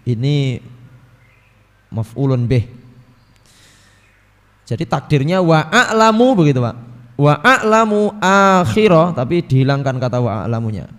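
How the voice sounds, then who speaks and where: A man with a Javanese accent giving a religious study lecture, reading Arabic text and explaining it in a relaxed, chatty way to his audience, often clearing his throat. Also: on the high side, unhurried, pauses often, long reverb tail. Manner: mixes Arabic recitation with casual explanation, addresses audience as Bapak Ibu. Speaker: ustadz teaching a hadith book.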